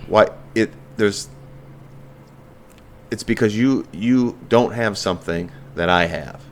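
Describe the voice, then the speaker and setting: A man with a very low voice hosts a podcast and chats in a relaxed, frank way, dropping the odd curse. A faint background hiss runs under his voice. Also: slow pace. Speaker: podcast host. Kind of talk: casual interview chat.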